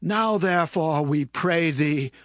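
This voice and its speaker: A man's voice: a little high, takes it slowly, no echo, studio quality. A man playing a humble shepherd pleading with a ruler in a dramatized scripture reading. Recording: crowd noise behind.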